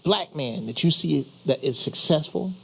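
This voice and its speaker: A man on a talk show panel telling an animated story. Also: a little high, moderate pace, vocal fry, slight background noise, low-quality recording.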